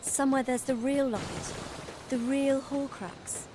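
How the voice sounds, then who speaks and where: A cautious, caring English teenage girl witch in a video game. Her voice is high, with clear ambience behind it. She talks sensibly and protectively. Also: fairly even intonation, unhurried, background noise. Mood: sensible.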